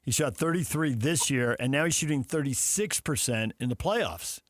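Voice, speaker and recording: A man's voice: mid-range voice, animated, moderate pace, no echo, studio quality. A man hosting sports talk radio, pressing his argument in an insistent debating manner.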